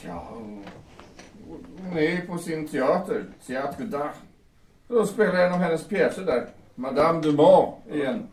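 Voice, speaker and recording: A man's voice: a little high, animated, a little mellow, natural voice. A man in an audio drama playing a besotted fiancé, defending his bride-to-be in a hesitant, placating, explanatory way. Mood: explaining. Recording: echoey room, distant, faint noise.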